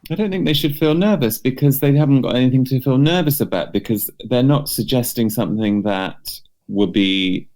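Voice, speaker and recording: A man co-hosting a podcast, giving advice informally and haltingly, often saying "like". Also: mid-range voice, a little mellow. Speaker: podcast co-host. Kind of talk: casual advice chat. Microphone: remote recording from separate location.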